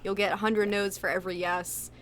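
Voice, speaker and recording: A woman's voice: medium pitch, level tone, brisk. A woman chatting casually as an interviewed voice actress, emphatic, blunt and encouraging in how she talks.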